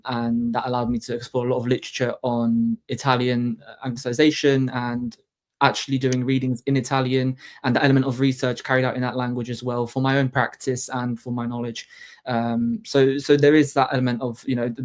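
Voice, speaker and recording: Polish-native student, monotone delivery. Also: male voice, medium pitch. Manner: halting, lots of fillers and self-corrections. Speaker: master's student in translation. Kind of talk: student panel conversation.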